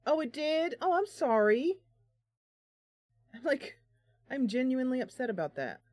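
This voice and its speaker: A woman's voice: high voice, big pitch swings, unhurried, a little mellow, dry recording. Chatty, bubbly woman streamer, close dry sound. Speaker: live stream host, gamer. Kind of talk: casual live stream chat.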